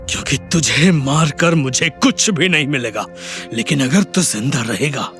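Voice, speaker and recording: An actor in an audio drama playing a bold, defiant young man with a cocky, menacing, deliberate delivery. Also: medium pitch, a bit bright. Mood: cool, threatening. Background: music.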